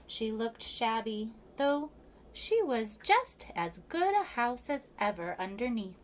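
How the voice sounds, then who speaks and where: A woman reading a children's picture book aloud as a preschool storyteller, with expressive, measured delivery and emphatic pauses. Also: on the high side, slight background noise, rough recording.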